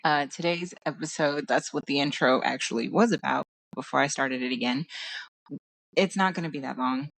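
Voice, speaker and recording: A woman hosting a casual solo podcast, her voice hoarse from crying, talking in a tired, meandering way and stopping to search for words. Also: rumbling low, normal speed, a bit bright, poor audio. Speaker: podcast host. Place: at home.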